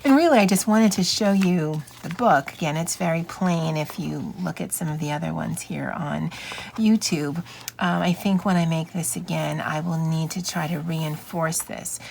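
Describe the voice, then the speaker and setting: A woman's voice: deep voice, moderate pace. A woman chatting casually as she comments on a craft flip-through, musing informally with wry asides. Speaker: craft channel host. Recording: clear steady background noise.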